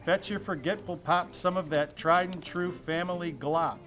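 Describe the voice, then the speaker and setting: A man's voice: sits high, fairly even intonation, normal speed, fairly bright tone. A man voicing a forgetful, good-hearted moose father in a folksy storybook reading, with music behind. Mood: resolute.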